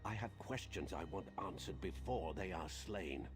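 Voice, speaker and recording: Suspicious prince, lowish pitch, very animated intonation, haughty, impatient, formal, video game dialogue. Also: male voice, normal speed, faint music behind. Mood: suspicious, commanding. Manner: imperious, disdainful, formal diction.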